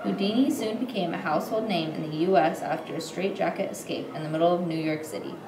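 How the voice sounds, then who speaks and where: A woman's voice: fairly low pitch, fast. A young woman reads a scripted history report aloud as a student presenter, occasionally stumbling over her words. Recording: roomy echo, clear background noise.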